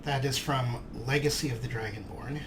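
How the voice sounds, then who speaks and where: A man's voice: mid-range voice, normal speed. A man hosting a let's play with casual gameplay commentary. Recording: clear background noise from game.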